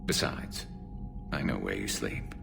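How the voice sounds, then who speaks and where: A loyal alien comrade in a sci-fi game, seasoned and caring. His voice is extremely deep and gravelly, soft-spoken, with music underneath. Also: measured pace, exaggerated voice. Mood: concerned, gentle. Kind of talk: video game voice acting.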